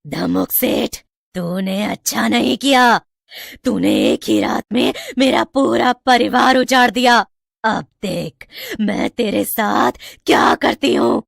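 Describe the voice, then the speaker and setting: A woman's voice: fairly high pitch, lively intonation, takes it slowly, creaky, no room sound, clean studio sound, heavily acted. A woman voicing a devoted yet vengeful young snake-witch daughter in a moral-story cartoon, with emphatic, dramatic delivery. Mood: vengeful, menacing.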